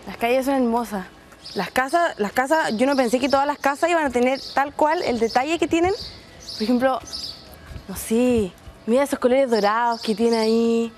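Una mujer joven de Chile con acento chileno, que presenta un reportaje de viaje al aire libre y le habla con entusiasmo a la cámara. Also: female voice, on the high side, moderate pace, a little mellow, slight background noise. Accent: Chilean Spanish. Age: young adult. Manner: chatty, enthusiastic, addresses viewers directly. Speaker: exchange student presenting a travel segment. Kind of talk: casual travel presenting to camera. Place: outdoors in a seaside resort town and on a beach.

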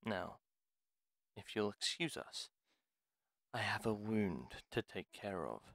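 A dry, close-sounding recording of a man acting a reluctant retired pharmacist from a fantasy audio drama. His voice sits on the low side and moves slowly. He is gruff and wary yet courteous, with formal, theatrical phrasing. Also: animated, fairly bright tone, no echo, heavily acted. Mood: grave, storytelling. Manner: formal, deliberate, weary, theatrical. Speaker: voice actor in roleplay audio. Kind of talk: dramatic audio roleplay monologue.

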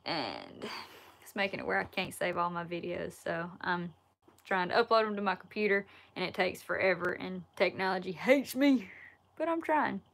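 Woman in her twenties, Alabama southern accent, folksy relaxed livestream chat, built-in laptop mic. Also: fairly low pitch, moderate pace, creaky. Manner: relaxed, folksy, chatty, reads viewer questions aloud. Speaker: outdoors YouTuber answering live chat. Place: indoors, wood-paneled cabin room. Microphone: laptop or phone camera at arm's length.

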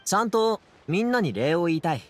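Boyish, bashful teenage son. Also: male voice, high voice, sing-song, a little fast. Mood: warm, upbeat. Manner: hesitant, casual, boyish. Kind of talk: Japanese anime game dialogue acting.

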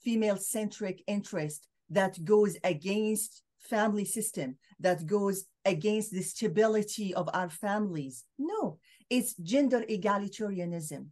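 A woman's voice: medium pitch, a little slow, a little mellow. Syrian-born woman on a video call, middle-aged, earnest and explaining, lots of uhs. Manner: earnest, explanatory, frequent fillers. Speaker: guest scholar. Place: home room with bookshelves.

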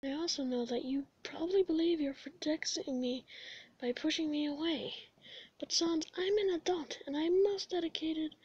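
A woman voicing an excitable, earnest young male skeleton in a comic dub, with dramatic, emphatic delivery. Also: very high voice, no room sound, highs cut off.

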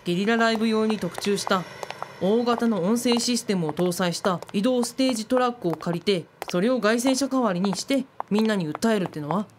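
A woman's voice: medium pitch, a little fast, background noise, lightly acted. A woman playing an opinionated, blunt eighteen-year-old youngster in a radio drama. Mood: indignant. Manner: blunt, grumbling.

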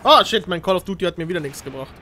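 A man's voice: high-pitched, expressive, rapid-fire, sharp tone, slight background noise. A young man commentating a game casually with a friend, slangy, repeating himself and mixing in English. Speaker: gaming video commentator. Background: shooter game gunfire and ambience.

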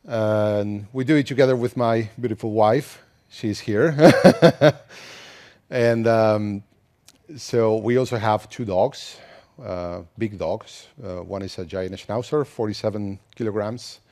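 A man's voice: fairly low pitch, takes it slowly. A man in his thirties from Argentina, with an Argentine Spanish accent, giving a conversational conference talk with frequent restarts. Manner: relaxed, conversational, with many restarts. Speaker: conference speaker. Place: conference hall, indoors.

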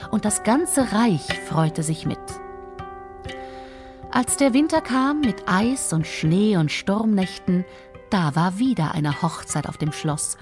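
A woman narrating a fairy tale in a measured, expressive way, lingering on descriptive words. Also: mid-range voice. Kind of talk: scripted storytelling narration.

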